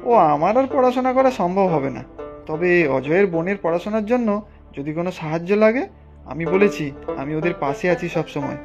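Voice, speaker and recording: A man's voice: high-pitched, expressive, brisk, over music. An actor playing a polite, humble young delivery boy in a cartoon, respectful and earnest. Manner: pleading, respectful. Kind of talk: animated cartoon dialogue.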